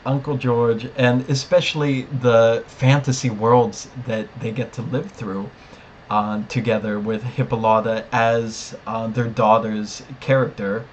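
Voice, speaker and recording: A man with a mid-pitched voice gives his views as a podcast co-host. He talks casually but emphatically, doubling up words to press a point. Faint noise sits in the recording. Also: flat delivery, a little slow, rough recording. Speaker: podcast co-host discussing a TV series. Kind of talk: casual review discussion.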